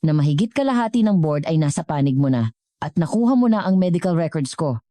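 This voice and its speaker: A woman as a grave, low-voiced old chairman. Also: animated, fast talker, dark tone, dry recording, studio quality. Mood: accusing. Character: stern, wounded old company chairman and father.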